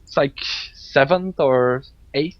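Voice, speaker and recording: A man from Belgium, not a native English speaker, casting a live esports match in a relaxed, chatty way with many fillers. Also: medium pitch, slow pace, a little hiss or hum. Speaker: esports caster. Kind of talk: live game commentary. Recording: dull lo-fi stream audio, faint music.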